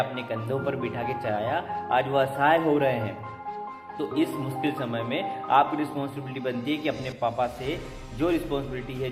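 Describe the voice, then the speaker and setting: An Indian man giving an emotional motivational speech to students, earnest and pleading, with rhetorical appeals. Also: mid-range voice. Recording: echoey hall reverb, music underneath. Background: music.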